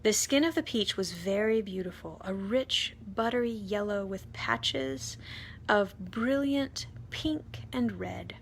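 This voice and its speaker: A woman reading a children's story aloud expressively, deliberate in manner, with dramatic pauses. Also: medium pitch, lively intonation, a little hiss or hum. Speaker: storytime reader, priest.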